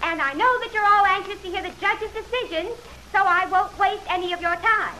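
A woman's voice: very high voice, brisk, bright, forceful tone, rough recording. An actress playing a gushing, fluttery society lady making formal announcements in an old-time radio drama. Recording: vintage radio recording with background noise.

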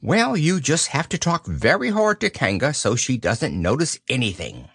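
A man's voice: medium pitch, big pitch swings, creaky, fairly bright tone, slightly rough, no room sound, clean studio sound, heavily acted. A man voicing a bossy, fussy male rabbit who eagerly urges others along. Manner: brisk, eager, urging others on.

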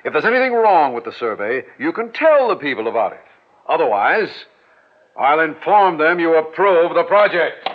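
An actor playing a pushy, impatient small-town mayor with a bossy manner, in a 1940s radio drama. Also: male voice, fairly high pitch, sing-song, moderate pace. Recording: muffled old radio broadcast.

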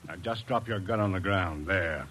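A man's voice: sits low, normal speed, close to an everyday voice. A male actor playing a cold, menacing gunman in an old-time radio drama, curt and commanding. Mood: ruthless. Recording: hissy vintage radio recording.

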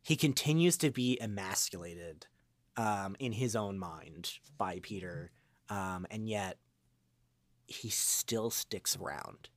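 A man's voice: lowish voice, slow, dry recording. A man giving a casual interview as a voice actor, talking in a hesitant, rambling way and drifting into asides. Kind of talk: casual, unscripted interview chat.

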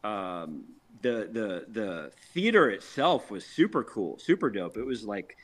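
A man's voice: lowish voice, very animated, a little slow. A middle-aged man from a rock band chatting casually in an interview, enthusiastic and slangy, often repeating his words.

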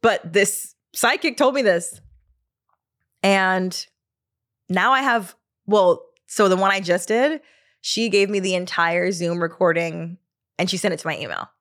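A woman's voice: on the low side, unhurried, fairly bright tone. A woman, hosting her podcast in a casual solo chat, laughing often and trailing off with fillers. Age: 30s. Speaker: podcast host.

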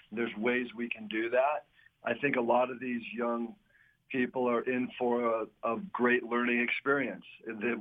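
A mature man answering as a university athletics official in a radio interview, measured and advisory, on a muffled band-limited clip. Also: mid-range voice.

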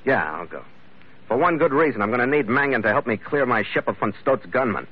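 A man's voice: mid-range voice, animated, a little fast, slightly rough. An actor playing a weary, sardonic ship captain who narrates his own adventure in terse old American radio drama style. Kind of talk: 1950s American radio drama acting with first-person narration. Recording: muffled old radio recording with loud music.

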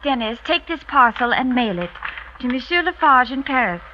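A high, theatrical woman's voice of a resolute, coolly polite young wife. Her speech is measured and formal, in an old radio play with muffled sound and faint hiss. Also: sing-song. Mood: commanding. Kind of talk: 1950s radio drama acting.

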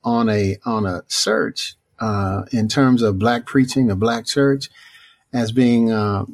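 Soft-spoken thoughtful preacher, lower voice. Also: male voice, steady tone. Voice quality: not booming, not deep voice. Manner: thoughtful, unhurried, many fillers and restarts. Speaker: preacher and author, interview guest. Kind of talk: reflective conversational interview answers.

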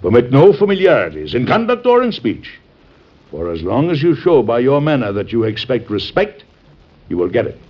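A man's voice: medium pitch, huge pitch swings, normal speed, slightly rough. An actor playing a stern, doting, fretful middle-aged father of grown daughters in classic American radio drama, with formal, lecturing speech. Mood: instructive, tender. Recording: muffled old radio recording.

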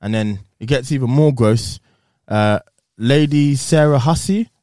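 A man's voice: mid-range voice, unhurried, warm tone, no room sound, clean studio sound. A man chatting bluntly on a podcast, swearing casually and speaking frankly. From England. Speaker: podcast co-host. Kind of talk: casual chat.

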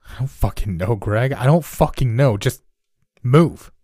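A man's voice: on the low side, normal speed, dark tone, breathy edge, dry recording, studio quality. An actor playing a menacing young male kidnapper who snarls curt, profane orders. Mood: commanding.

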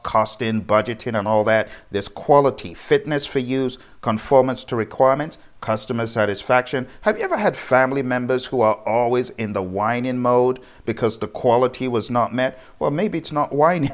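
Man teaching informally, talking straight to listeners. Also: medium pitch. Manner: rhetorical questions, addressing the listener directly. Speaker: project management instructor. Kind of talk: informal educational lecture.

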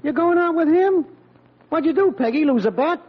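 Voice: sits high, lively intonation, normal speed, heavy background noise. A performer playing a cocky, teasing teenage boy, smug and slangy, in old-time radio comedy style. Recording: dull, lo-fi vintage radio recording.

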